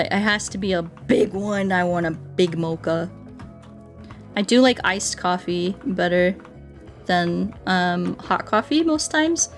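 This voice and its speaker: Playful woman streamer chatting over music. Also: mid-range voice. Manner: playful, rambling, thinking aloud. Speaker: streamer playing Minecraft and chatting with viewers. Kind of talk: casual gaming livestream chat.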